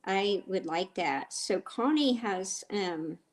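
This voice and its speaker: A middle-aged woman with a raspy voice from a cold, chatting in a rambling, easygoing way as she hosts a cooking livestream and answers viewers. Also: mid-range voice, expressive, slow. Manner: chatty and rambling, reads viewer comments aloud. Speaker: livestream host. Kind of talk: casual cooking livestream chat.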